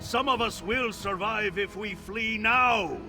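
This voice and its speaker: A man's voice: high voice, slow, creaky, over music, heavily acted. A man playing a bitter, betrayed warrior commander in a fantasy video game, with an intense, dramatic delivery. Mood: bitter, despairing.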